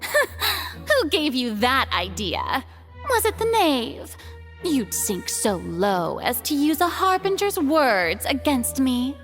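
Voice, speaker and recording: Haughty goddess for an anime game: grandiose, theatrical, very animated, unhurried delivery. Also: female voice, sits high, faint music behind, heavily acted. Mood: scornful. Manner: grandiose, dramatic, declamatory. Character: theatrical, haughty young goddess on trial as defendant.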